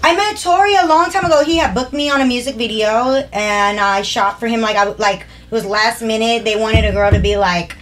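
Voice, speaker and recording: Podcast guest: young woman, loud and animated, voice sits a bit high, faint hum of noise behind her. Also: normal speed, few pauses, rough recording. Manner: loud, animated, laughs easily. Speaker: guest singer and actress. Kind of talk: casual podcast chat.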